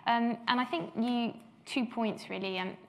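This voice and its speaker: A woman's voice: fairly high pitch, normal speed, creaky, slight background noise, rough recording. A woman from Belgium chairing a policy panel discussion, talking with many hesitant fillers.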